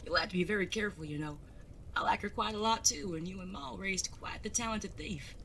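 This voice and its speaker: A woman's voice: medium pitch, a little fast, fairly bright tone, a little hiss or hum, lightly acted. A woman acting in an audio drama as a grown bandit son, charming and evasive, with a genteel, wordy, hesitant way of talking. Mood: breezy, affectionate.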